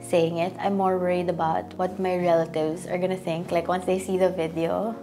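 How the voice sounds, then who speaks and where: A woman in her early twenties with a Filipino accent, talking in a relaxed, casual way during an interview. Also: lowish voice, moderate pace, music in the background. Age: early 20s. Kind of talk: candid interview.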